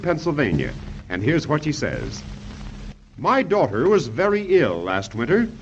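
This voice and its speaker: An American man announcing a 1930s children's radio show, pitching emphatically to young listeners. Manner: addresses children, emphatic salesmanship. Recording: muffled, noisy 1930s radio recording.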